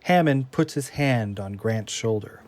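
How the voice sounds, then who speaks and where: A man's voice: mid-range voice, animated, measured pace, darkish tone. A man reading scripted stage directions as the narrator of an audio drama. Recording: music under the voice. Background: music.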